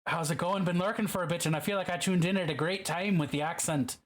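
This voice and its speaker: A man's voice: sits high, quick pace. A man chatting casually on a live stream while painting, reading messages aloud and teasingly slipping into a mock Irish accent.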